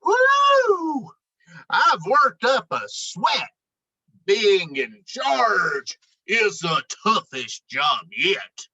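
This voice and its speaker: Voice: medium pitch, huge pitch swings, extremely slow, sharp tone, husky, exaggerated voice. A woman voicing a bossy, easily panicked male moose foreman in a children's storybook, with dramatic, commanding exclamations. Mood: bossy, boastful.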